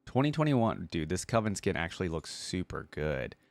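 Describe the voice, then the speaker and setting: A man's voice: deep voice, big pitch swings, normal speed, dry recording. A man giving casual, unscripted reaction commentary as a video host, talking in an informal, slangy, enthusiastic way.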